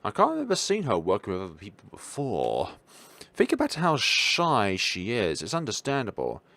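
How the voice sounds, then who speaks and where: A young man reading a visual novel aloud as a let's player, expressive but sometimes stumbling, with casual commentary of his own. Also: fairly low pitch, moderate pace, gravelly, no echo.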